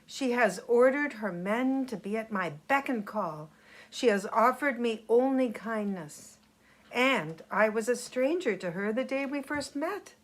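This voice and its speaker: An earnest young boy in a storybook tale, played by a woman reader, speaks up with indignant emphasis. The pitch lifts above the narration while the words stay measured and slow, full of reproach. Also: lively intonation, poor audio. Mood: earnest, admiring. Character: indignant young boy scolding his proud father.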